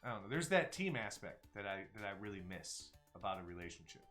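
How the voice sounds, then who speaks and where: A man's voice: on the low side, very animated, fast, fairly bright tone. A man in his forties hosting a live stream, talking candidly and reflectively in casual chat, often trailing off and restarting. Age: 40s. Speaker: stream host. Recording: faint music behind.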